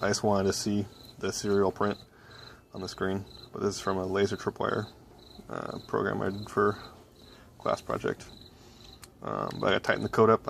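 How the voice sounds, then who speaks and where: A young man giving a casual project walkthrough in a low-key, hesitant way, thinking aloud as he goes. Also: creaky, a little hiss or hum. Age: young adult. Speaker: hobby electronics vlogger. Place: small cluttered home workbench indoors. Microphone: handheld phone camera.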